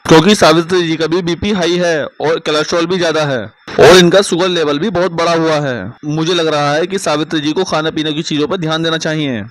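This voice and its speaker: Man acting a firm doctor, slightly high voice. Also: steady tone, a little fast, hardly any pauses, natural voice, low-quality recording. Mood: serious, advising. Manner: explanatory, firm. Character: stern, concerned family doctor. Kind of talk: cartoon moral story acting.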